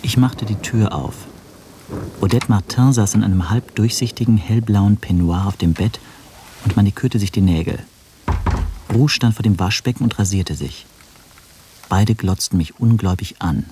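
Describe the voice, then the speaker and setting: A low-voiced man played by an actor narrates a radio play as an inner monologue. He is anxious, deliberate and scheming, brooding over every suspicion. Faint rain and noise sit behind the voice. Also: dark tone, close to an everyday voice. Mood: measured, brooding.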